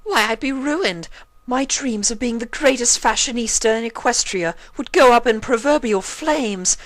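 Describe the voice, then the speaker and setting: A woman's voice: a little high, moderate pace, no room sound, pitched above the performer's own voice, close to an everyday voice. A woman voicing an elegant, haughty female cartoon pony fashionista with affected, dramatic, refined diction. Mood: airy disdain.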